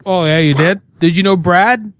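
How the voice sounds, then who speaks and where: Man, prank caller, crude and mocking, fake sales pitch, slightly high voice. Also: normal speed, a bit bright, no echo. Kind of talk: prank phone call.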